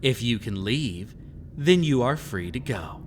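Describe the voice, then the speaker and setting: A man's voice: mid-range voice, expressive, a little slow, a little hiss or hum, close to an everyday voice. A man playing a sinister, centuries-old master of a haunted estate in a dramatic audio roleplay, teasing and taunting theatrically. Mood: whimsical threat. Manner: teasing, taunting, theatrical, with frequent sly chuckles.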